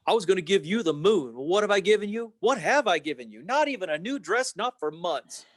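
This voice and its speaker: A man acts out a flustered young family man in a vintage radio play. His voice is high and swings widely, stammering earnestly through folksy exclamations. The sound is dry and close. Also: big pitch swings, brisk, no echo. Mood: downcast. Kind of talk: old-time radio play acting.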